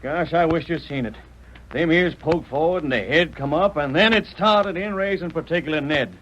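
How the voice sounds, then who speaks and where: An actor playing a flustered, awestruck outlaw ranch hand in an old-time radio Western, with folksy American cowboy speech. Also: male voice, fairly high pitch, normal speed, natural voice. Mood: flustered, awed. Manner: animated folksy cowboy talk. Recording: muffled old radio recording with hiss.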